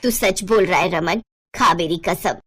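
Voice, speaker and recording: An older mother-in-law in a family bedtime tale played by a woman storyteller. She is loving yet easily exasperated, fretful and plaintive, in a lowered voice. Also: a little slow, fairly bright tone, slightly rough. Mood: excited, affectionate.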